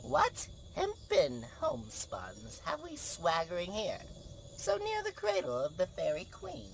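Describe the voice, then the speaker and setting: A woman playing a mocking, mischievous fairy sprite in a Shakespearean radio play, with a theatrical delivery and a creaky voice. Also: mid-range voice, huge pitch swings, unhurried, exaggerated voice. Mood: gleeful mischief. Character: mischievous fairy sprite eavesdropping on bumbling mortals. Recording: noisy home-recorded radio play.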